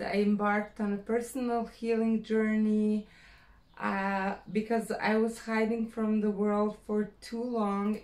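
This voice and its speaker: A woman from Croatia with a Croatian accent, speaking English as a second language in a personal vlog, pausing often and searching for words. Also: mid-range voice, a little mellow, reverberant, poor audio. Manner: hesitant, many fillers, searching for words. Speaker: vlogger.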